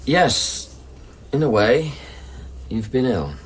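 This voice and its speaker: A man's voice: mid-range voice, animated, slow pace, slight background noise. An actor in a radio drama playing an uneasy, guarded man who humours his uncanny lover with terse, placating replies. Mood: strained, reassuring. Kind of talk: intimate radio drama acting.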